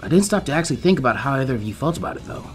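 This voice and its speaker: A man's voice: mid-range voice, lively intonation, very fast, soft background music, lightly acted. A man voicing an earnest, stubborn teenage ninja boy with emphatic delivery in a story reading. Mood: dejected.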